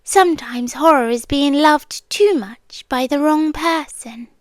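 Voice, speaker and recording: A British woman playing a possessive, sweetly menacing siren queen in an intimate ASMR roleplay, speaking softly and teasingly and drifting into whispers. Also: high-pitched, a little slow, no room sound. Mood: tender menace.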